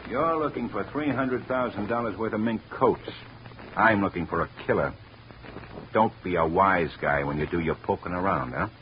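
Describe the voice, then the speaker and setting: An actor playing a gruff, matter-of-fact police lieutenant in an old radio drama, blunt and brisk. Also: male voice, low voice, lightly acted. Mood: warning. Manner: blunt, brisk, tough-talking. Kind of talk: 1950s radio drama acting. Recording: muffled old radio recording, faint noise.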